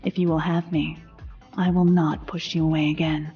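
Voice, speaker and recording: A woman playing a proud, wounded, distrustful companion in a fantasy game, speaking in dramatic, deliberate, formal phrases. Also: sits low, level tone, mellow, dull audio, faint music behind. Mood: intense, yearning. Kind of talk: fantasy video game character acting.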